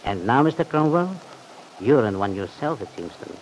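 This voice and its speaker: An actor playing a cautious, worried manservant, formal and discreet, with a creaky voice, in an old-time radio serial. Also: male voice, mid-range voice, big pitch swings, normal speed, close to an everyday voice. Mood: discreet reproof. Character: deferential, cautious, worried manservant advising his employer. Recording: faintly noisy old radio recording.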